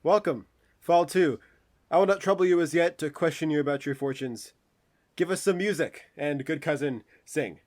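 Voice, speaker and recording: A man's voice: on the high side, darkish tone, close to an everyday voice. Man as a gracious exiled duke, lightish voice. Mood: benevolent, reflective. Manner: courtly, measured, formal. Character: gracious, courteous exiled duke, generous host. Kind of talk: Shakespearean radio play acting.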